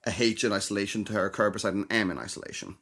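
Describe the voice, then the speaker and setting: Soft-spoken man, lower voice. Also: steady tone, racing. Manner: hesitant, many ums and restarts, explains carefully. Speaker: podcast co-host. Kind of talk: casual chat.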